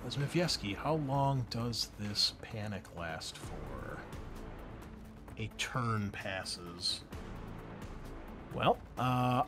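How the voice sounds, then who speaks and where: A man with a fairly low voice runs a tabletop roleplaying session as game master. He improvises his narration in a halting, conversational manner. Faint music plays behind him. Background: background music.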